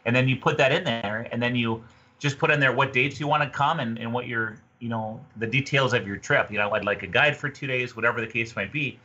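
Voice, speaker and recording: A man in his fifties talks casually and conversationally as an interview guest. His voice is forceful and rough-edged, coming through slightly muffled lo-fi video call audio. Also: mid-range voice, a little fast. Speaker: podcast guest, fishing tourism representative. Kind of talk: casual fishing report interview. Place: small room indoors, on a video call.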